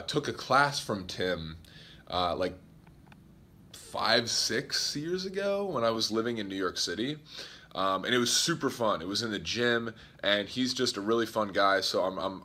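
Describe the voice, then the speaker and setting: A young man talking fast and upbeat to a phone camera held at arm's length, vlogging about fitness from a hotel room and city streets. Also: fairly low pitch, pushed and bright. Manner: upbeat, hyped, chatty. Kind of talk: casual vlog talk to camera.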